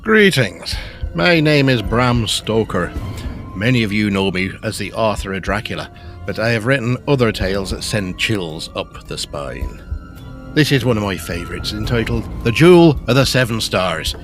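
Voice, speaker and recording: A theatrical Victorian gentleman author acted by a man introduces a gothic tale with deliberate delivery. His voice is low, and music plays clearly behind him. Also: expressive, natural voice. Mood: ominous, inviting. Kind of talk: scripted storytelling introduction.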